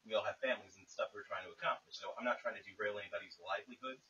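A man's voice: fairly low pitch, racing, sharp tone, distant mic. Man on a hissy, lo-fi phone call. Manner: insistent, wordy, formal phrasing. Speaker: caller. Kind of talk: tense, argumentative phone conversation.

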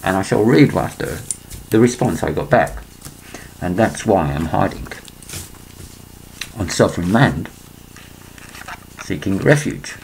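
An older man from England, speaking into a laptop webcam in a small room, rambling and clearing his throat between points. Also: sits low, animated, unhurried, pauses often, a little mellow, slight background noise, low-quality recording. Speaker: vlogger. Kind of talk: rambling conspiracy monologue to webcam.

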